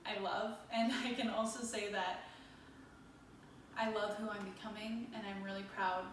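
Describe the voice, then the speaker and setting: A woman's voice: medium pitch, little pitch movement, normal speed, recorded from a distance, a little hiss or hum. Heartfelt young woman, echoey room. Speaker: theater student.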